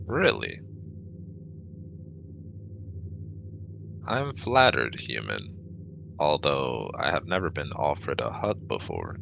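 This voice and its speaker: A man's voice: a bass voice, vocal fry, muffled recording, noisy background, exaggerated voice. A man voicing a formal, cautious but kind male alien with precise, stiff wording in a sci-fi ASMR roleplay. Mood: gentle, formal.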